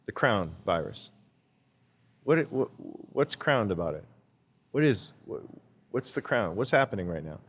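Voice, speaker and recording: A man's voice: lowish voice, sing-song, a little slow, pauses often, husky, big-room sound. A man talking as a guest in a casual interview, rambling and repeating words as he searches for his thoughts. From Canada.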